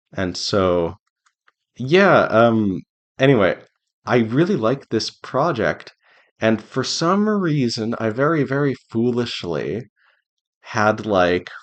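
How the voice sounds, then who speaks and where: Low-voiced man giving a casual devlog. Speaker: indie visual novel developer giving a devlog. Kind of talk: casual unscripted devlog update.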